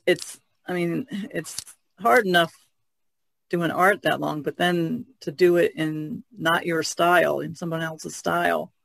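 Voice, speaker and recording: A man's voice: a little high, fairly even intonation, measured pace, gravelly, dry recording. A man chatting casually on a podcast about art, thoughtful and trailing off at times. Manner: thoughtful, trailing off, laughs softly. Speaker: podcast guest artist. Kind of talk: casual discussion.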